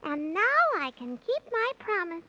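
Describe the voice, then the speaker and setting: A woman playing a small, excitable, bossy magical creature who talks in singsong rhyme in a 1930s children's radio serial. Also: a little slow, full character voice. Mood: triumphant. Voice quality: squeaky. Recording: noisy, muffled 1930s radio recording.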